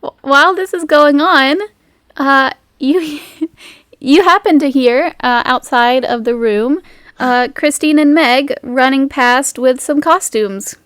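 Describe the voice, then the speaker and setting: A woman's voice: high-pitched, slow, fairly bright tone, no echo, clean studio sound. A woman acting as game master, narrating an improvised tabletop game in a casual, conversational way.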